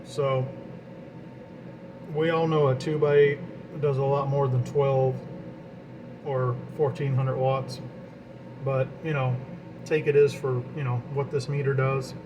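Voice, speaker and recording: Male hobbyist, average-pitched, informal explainer who mutters through each step; noticeable equipment hum and fan noise in the recording. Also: slow pace, pauses often. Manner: talks to himself while working, explanatory. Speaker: radio hobbyist demonstrating equipment. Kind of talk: informal hands-on demonstration. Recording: clear steady background equipment noise.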